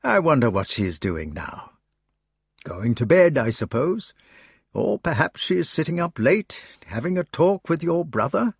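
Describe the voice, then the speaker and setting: A man voicing a kindly old king who speaks softly and soothingly. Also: mid-range voice, slightly rough, dry recording, clean studio sound, voice pushed up, heavily acted. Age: older. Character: old, gentle, fatherly male king.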